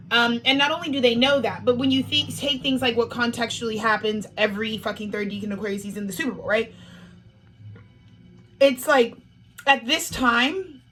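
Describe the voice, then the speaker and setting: A woman's voice: a little high, fairly bright tone, low-quality recording. A woman giving a casual spiritual monologue as an astrology speaker, a little sniffly, rambling with lots of fillers and free swearing.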